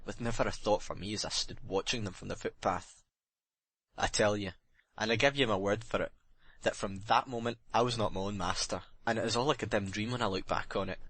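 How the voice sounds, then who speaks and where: Low dry voice, guy acting as bitter haunted jealous sailor confessing, intense audiobook drama. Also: a bit bright, slightly rough, no room sound. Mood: brooding. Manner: intense dramatic confession, plain working-man's phrasing.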